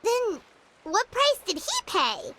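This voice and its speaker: A woman voicing a tiny, excitable fairy companion girl who chatters eagerly and talks about herself in the third person. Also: very high voice, slow pace, slight background noise, full character voice. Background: game music.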